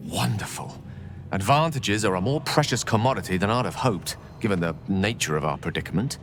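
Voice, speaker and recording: Courteous game wizard: lowish voice, eloquent, playful, refined diction. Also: male voice, expressive, brisk. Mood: approving, measured. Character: urbane, wry male wizard, eloquent and courteous. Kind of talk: fantasy video game acting.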